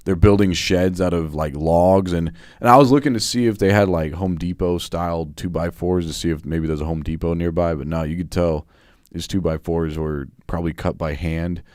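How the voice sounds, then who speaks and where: A man's voice: low voice, steady tone, moderate pace, a little mellow, no room sound, studio quality. A man co-hosting a livestream chat, talking casually with wry, joking asides. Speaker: co-host.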